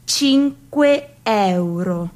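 A young woman performing a theatrical radio monologue, shifting from hushed whispers to loud declamation. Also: medium pitch, animated, extremely slow. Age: young adult.

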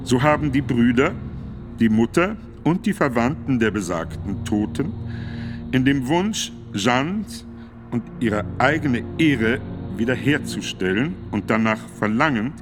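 An actor playing a solemn, authoritative medieval pope who formally declaims a decree in a measured, emphatic way for a radio play. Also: male voice, mid-range voice, lively intonation, lightly acted. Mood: grave, measured. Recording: studio radio drama with music behind.

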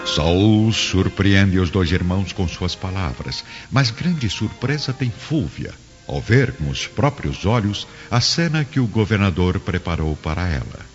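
A man's voice: sits low, lively intonation, a little slow, few pauses. A man narrating a radio drama in a solemn, theatrical scripted style. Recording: dull lo-fi recording, music underneath.

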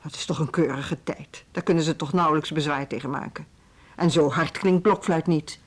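A woman with a Netherlandic Dutch accent playing a firm, put-upon music school head in a radio drama, brisk and argumentative. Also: deep voice, breathy edge. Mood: exasperated. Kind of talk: naturalistic radio play dialogue.

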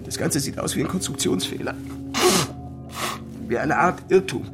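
An actor playing an obsessed, paranoid physicist in a radio drama, speaking in an intense, halting, urgent manner. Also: male voice, fairly high pitch, natural voice. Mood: feverish wonder. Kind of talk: German radio drama acting. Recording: music under the voice. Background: music.

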